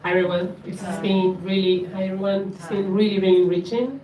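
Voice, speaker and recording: A woman from Bolivia speaking up from the audience at an open panel, in an earnest, measured, slightly halting way. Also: medium pitch, level tone, warm tone. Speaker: audience member. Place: community event room. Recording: echoey room, distant mic.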